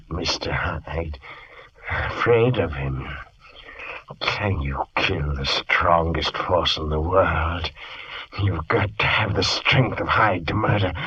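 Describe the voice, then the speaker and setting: Radio horror villain: low, very husky, commanding and menacing, lo-fi vintage sound. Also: male voice, pushed and bright, heavily acted. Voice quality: raspy. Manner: threatening, commanding. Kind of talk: old radio horror drama acting. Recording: dull lo-fi old radio recording.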